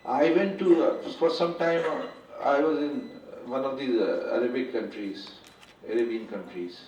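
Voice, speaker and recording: A man's voice: medium pitch, darkish tone. An Indian man with Urdu as his first language addresses a symposium off the cuff, breaking off and correcting himself as he goes. His voice comes from well back from the microphone in a heavily echoing hall. The sound is dull and muffled, with faint room noise underneath. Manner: halting, with frequent restarts and self-corrections. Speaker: symposium speaker. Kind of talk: unscripted formal address. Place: large lecture hall. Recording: echoey hall, distant, muffled.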